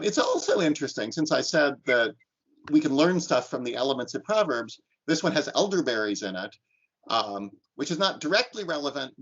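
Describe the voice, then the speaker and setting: A man's voice: on the high side, fairly bright tone, muffled recording. A man instructor teaching an online class and leading discussion, talking thoughtfully with frequent restarts and ums.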